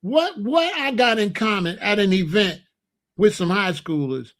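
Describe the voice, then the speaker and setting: Older man, high-pitched voice, blunt, repetitive, opinionated. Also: lively intonation, unhurried, a bit bright. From Chicago, USA. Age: 60s. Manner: emphatic, repetitive, blunt street talk. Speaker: commentator. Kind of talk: opinionated monologue.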